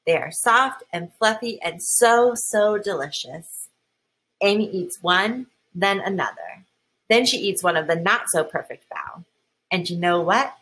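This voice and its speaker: Female children's storybook reader, unhurried and expressive, with a crisp bright tone and slightly husky edge. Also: lowish voice, low-quality recording. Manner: expressive, deliberate, with emphasized key words. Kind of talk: children's picture book read-aloud.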